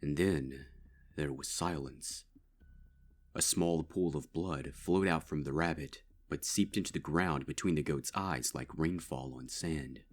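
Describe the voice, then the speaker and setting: A man giving grave, measured narration of a dark fan story. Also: extremely low pitch. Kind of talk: dark fanfic audiobook narration.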